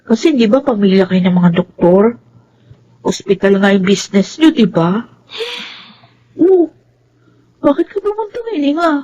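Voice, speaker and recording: A woman's voice: medium pitch, lively intonation, measured pace, pauses often, warm tone, lo-fi sound. A woman playing a frank, sympathetic teenage schoolgirl friend in a Filipino radio drama, with a Filipino accent.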